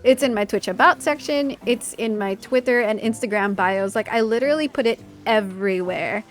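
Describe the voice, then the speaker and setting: Young woman from the Philippines, gaming creator; bright higher voice, enthusiastic casual Q&A delivery over faint music. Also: moderate pace. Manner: chatty, enthusiastic, reading questions aloud. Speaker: gaming YouTuber.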